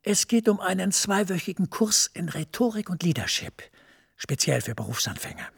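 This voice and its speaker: An actor playing a smooth, persuasive senior EU official who speaks in a measured way. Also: male voice, high-pitched, sing-song, exaggerated voice. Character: smooth senior EU director, middle-aged man, persuasive.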